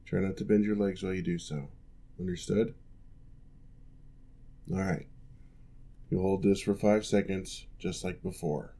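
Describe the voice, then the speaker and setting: A man voicing a former orc general who now works as a patient physical therapist, giving calm, measured instructions in an ASMR roleplay. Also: low voice, creaky, exaggerated voice. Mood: reassuring.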